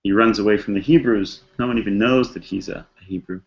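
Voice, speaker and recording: A man's voice: fairly low pitch, fairly even intonation, quick pace, creaky, highs cut off. A man giving a conversational scripture lesson as a rabbi, telling the story reflectively and posing rhetorical questions. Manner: dramatizes characters' thoughts in casual modern slang. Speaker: rabbi teaching a text study class.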